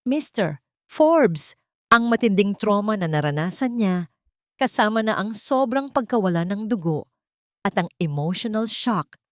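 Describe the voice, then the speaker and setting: A woman's voice: medium pitch, dry recording, close to an everyday voice. A grave doctor in an audio story, voiced by a woman. The delivery is formal, serious and clinical, recorded close and dry.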